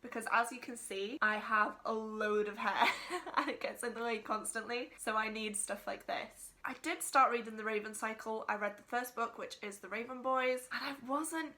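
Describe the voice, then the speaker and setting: A woman's voice: fairly high pitch, normal speed, sharp tone. A Welsh woman, a native Welsh speaker, chatting casually as she unboxes and reviews bookish items, gushing one moment and ranting the next. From Wales. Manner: gushing and chatty, slips into rants. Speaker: book vlogger.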